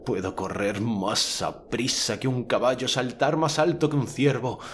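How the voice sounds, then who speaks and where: Man acting a hoarse, despairing knight hero. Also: medium pitch, a little slow, a bit bright, a little hiss or hum, pitched above the performer's own voice, lightly acted. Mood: bitter, despairing. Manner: dejected, bitter. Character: defeated knight hero, despairing, proud of his strength.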